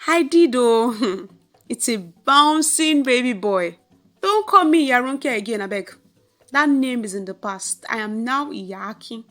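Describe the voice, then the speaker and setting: A woman's voice: on the high side, animated, takes it slowly, fairly bright tone. A woman voicing a proud, boastful, hot-tempered mother with a Nigerian accent in a folktale. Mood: gloating. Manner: boastful, scolding, emphatic.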